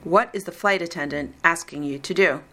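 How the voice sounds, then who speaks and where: A woman reading the script of a recorded listening exam in a slow, deliberate, evenly paced way. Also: lowish voice, bright, forceful tone. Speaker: exam reader.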